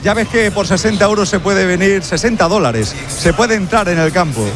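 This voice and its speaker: A man's voice: sits high, moderate pace, music in the background. A man with a Spanish accent giving a live match-day report as a radio sports reporter. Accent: Spanish (Spain).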